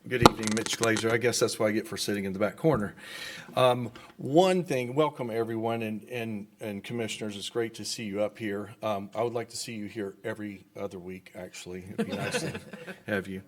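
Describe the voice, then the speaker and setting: A man's voice: fairly low pitch, a little fast. Enthusiastic man at public comment. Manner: enthusiastic, rambling with repeated words. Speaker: developer speaking at public comment. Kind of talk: public comment at a government meeting.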